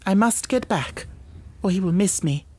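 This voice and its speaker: A man voicing an anxious, secretive young Victorian gentlewoman in an audiobook, speaking in an earnest, pleading, formal manner. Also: high voice, expressive, normal speed, husky, voice pushed up. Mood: guarded, anxious. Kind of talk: audiobook character dialogue.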